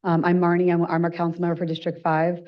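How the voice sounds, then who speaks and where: A woman's voice: on the low side, monotone, fast. A woman council member making brief, plain remarks at a meeting, with some ums. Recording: muffled lo-fi meeting audio.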